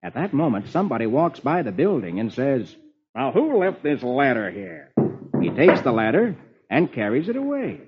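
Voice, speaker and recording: A man's voice: mid-range voice, very animated, normal speed, very noisy. A man playing a genial reader of comic strips to children, with theatrical 1940s radio storytelling delivery. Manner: theatrical, dramatic. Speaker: host reading the comics. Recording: dull old radio recording with music.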